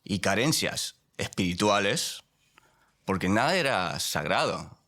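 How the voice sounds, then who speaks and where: A man born in Spain and raised in the United States, a podcast guest speaking in a measured, reflective, confessional way. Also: low-pitched, big pitch swings, pushed and bright. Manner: measured, reflective, choosing words carefully.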